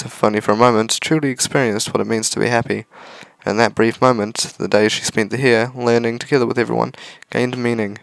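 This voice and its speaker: A man reading a visual novel aloud as a let's-play host, with measured, earnest narration. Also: mid-range voice, vocal fry, clear, resonant tone, dry recording. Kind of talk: dramatic read-aloud narration with casual asides.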